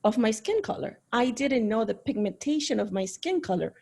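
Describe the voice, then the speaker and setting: A woman sharing reflective, personal remarks in a panel discussion over a video call. Her voice is mellow and dark, with a husky edge. She talks in an earnest, thoughtful way. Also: a little high, expressive, normal speed. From Caribbean, raised in Miami. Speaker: panel participant.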